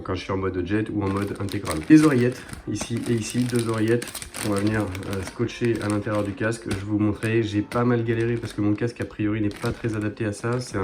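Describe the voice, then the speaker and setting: Guy with a lowish voice, casual moto vlogger walking through gear step by step. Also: background noise. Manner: explanatory, informal, step by step. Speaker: motorcycle vlogger presenting a product. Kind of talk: casual hands-on product review.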